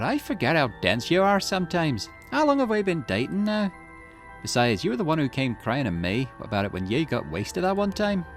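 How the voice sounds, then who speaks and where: A man voicing a brash, loyal young woman from a video game, with a blunt, teasing manner. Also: fairly high pitch. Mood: exasperated.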